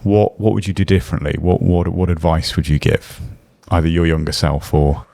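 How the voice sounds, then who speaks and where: A man's voice: sits low, little pitch movement, normal speed, creaky, mellow. A man hosting a podcast interview, asking loose, searching questions full of fillers. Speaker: podcast host.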